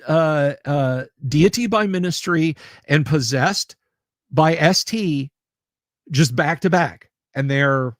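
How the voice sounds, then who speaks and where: Guy rambling slow on his stream, repeats himself, sarcastic, kinda high voice, dry close mic. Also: no room sound. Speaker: livestream host. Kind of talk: casual chat reading viewer comments.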